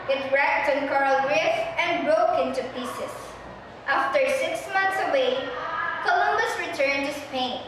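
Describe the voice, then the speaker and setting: A young woman with a high voice narrates a scripted stage reading. She is recorded from far off in a very echoey hall, with noise around her. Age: young adult. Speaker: narrator in a student readers' theater. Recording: distant mic, very echoey hall, noisy.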